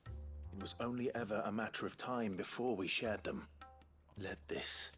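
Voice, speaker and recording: Calm low-voiced game hero, earnest n polite, bit of music behind. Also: male voice. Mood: resolute. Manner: measured, polite, determined. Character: earnest, resolute male hero, calm and courteous. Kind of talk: video game drama acting.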